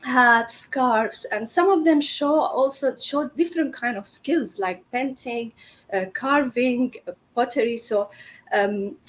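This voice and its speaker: A woman's voice: a little high, slow pace. A middle-aged woman from Syria, a business founder telling her story in an interview, earnest with many fillers and restarts.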